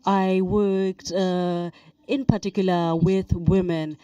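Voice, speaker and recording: A woman interpreting on a panel, with a measured, steady delivery. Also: on the low side, no room sound, distant mic, lo-fi sound.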